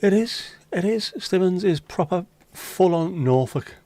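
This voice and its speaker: Guy hosting a chill livestream, mellow warm voice, dry close sound, jokes around and swears a bit. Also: high voice, expressive, unhurried, dry recording. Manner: teasing, jokey banter with casual swearing. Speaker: livestream host reading chat. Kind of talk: casual chat. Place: home livestream setup.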